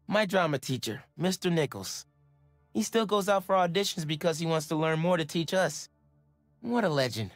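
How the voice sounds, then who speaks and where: An actor playing a sincere, enthusiastic teenage superhero in a video game, speaking casually. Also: male voice, on the high side, animated, normal speed, soft background music. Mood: fond, admiring. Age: young adult. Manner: casual, earnest.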